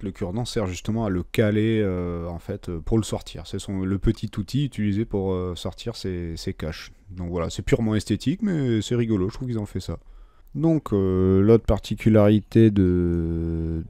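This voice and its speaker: Dark, mellow-voiced man reviewing casually. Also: low-pitched. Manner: informal, mildly sweary, self-deprecating. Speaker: product reviewer. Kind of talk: casual hands-on review chat.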